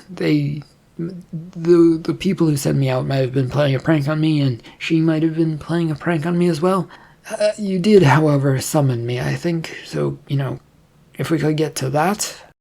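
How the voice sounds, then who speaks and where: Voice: mid-range voice, creaky, darkish tone, husky. A narrator voicing a distrustful young man in a storybook, muttering, hesitant and sarcastic. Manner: muttering, stammering, grudging.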